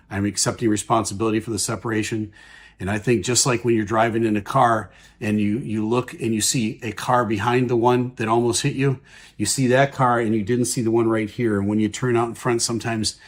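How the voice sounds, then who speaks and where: A male aviation commentator talks through air traffic audio step by step. His voice sits at a medium pitch. He explains things plainly, in everyday terms.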